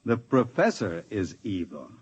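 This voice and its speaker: An actor playing a smooth-talking, domineering criminal in a 1950s radio drama, mocking and commanding. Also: male voice, fairly low pitch, sing-song, unhurried, a little mellow, highs cut off, full character voice.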